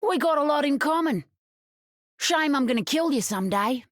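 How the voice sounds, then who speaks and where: Sly, high-voiced outlaw woman. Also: lively intonation, normal speed, no echo, clean studio sound. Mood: teasing, menacing. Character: sly, menacing female outlaw with folksy swagger.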